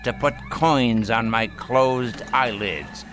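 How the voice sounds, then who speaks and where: A man's voice: mid-range voice, fairly bright tone, slight background noise. A man acting in a radio drama as a bitter, domineering, dying rich old grandfather who talks in a theatrical, mocking way. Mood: bitter, commanding. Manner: theatrical, mocking, drawn-out delivery.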